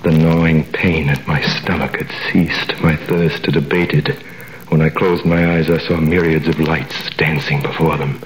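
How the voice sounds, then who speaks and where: A man's voice: extremely low pitch, level tone, a little slow. An actor playing a young male prisoner who tells his own story in a 1938 radio drama, intense and dramatic. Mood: brooding. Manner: intense, dramatic first-person telling. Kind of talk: 1930s radio drama narration and acting. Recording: old 1930s radio recording, roomy with faint hiss.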